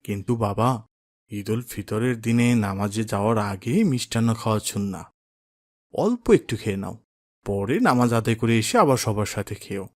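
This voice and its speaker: A man playing a pious, kindly father in a cartoon, gentle and instructive with his son. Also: on the low side, a little fast, no echo, studio quality, lightly acted. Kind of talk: cartoon drama voice acting.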